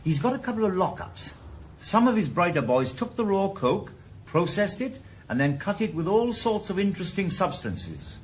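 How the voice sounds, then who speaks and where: An actor playing a wry, sardonic retired detective turned private eye, in a 1980s radio drama. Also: male voice, sits high, sing-song, moderate pace, slight background noise. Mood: theorizing. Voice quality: dry, sardonic. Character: retired ex-detective, wry middle-aged Welshman. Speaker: private investigator.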